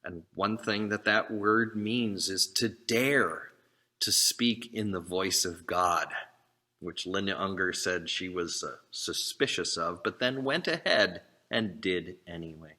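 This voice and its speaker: Slow, deep-voiced male preacher. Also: pushed and bright. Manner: earnest, exhortatory, with deliberate pauses and short phrases. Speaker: minister giving a sermon. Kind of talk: sermon.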